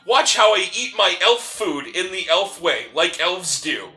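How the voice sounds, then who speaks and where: A man voicing a halfling who insists he is an elf, in a stilted, drawn-out, emphatic way for a tabletop fantasy character. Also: high-pitched, very animated, pushed and bright, soft background music, pitched above the performer's own voice, heavily acted. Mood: proudly insistent.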